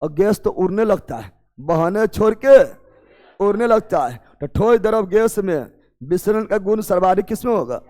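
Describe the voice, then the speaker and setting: A man teaching an exam coaching class, drilling students with repeated questions and urging them to answer fast. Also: high voice, in a large hall. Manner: loud, emphatic, urging students to hurry.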